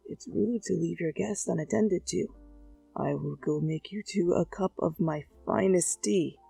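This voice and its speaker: A woman voicing a genial, courteous old man, unhurried and gently teasing, in a fan fiction reading. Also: lowish voice, creaky, slightly rough, dry recording, soft background music, voice pushed down, exaggerated voice. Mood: kindly. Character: genial, mellow old uncle, hospitable tea maker.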